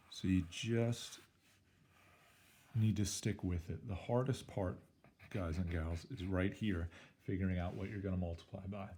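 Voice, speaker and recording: A male math teacher, slightly hoarse, talking through an algebra problem in an upbeat, playful way with jokey exclamations. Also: sits low, warm tone. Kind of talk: recorded algebra lesson walkthrough.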